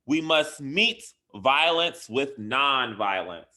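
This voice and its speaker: A man reads a children's story aloud at a slow, measured pace. His mid-pitched voice is bright and forceful. He phrases deliberately, leaning hard on key words for dramatic effect, like an educator reading to young pupils. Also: lively intonation. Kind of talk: expressive picture-book read-aloud for children.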